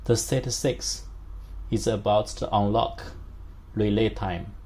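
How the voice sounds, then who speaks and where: A man who is not a native English speaker, giving a step-by-step product demonstration in short, halting phrases. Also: fairly low pitch, fairly even intonation, a little mellow.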